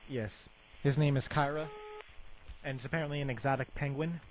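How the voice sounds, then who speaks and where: A young man making a deadpan prank phone call in a rambling, hesitant, casual way full of filler words. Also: medium pitch, brisk. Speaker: prank caller. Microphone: telephone. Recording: phone call with faint background noise.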